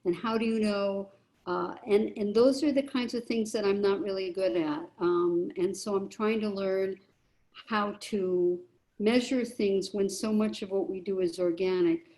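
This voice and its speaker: A woman arts producer talking unhurriedly on a video call, with many pauses and fillers as she thinks aloud. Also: mid-range voice, steady tone, mellow. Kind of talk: casual group check-in on a video call.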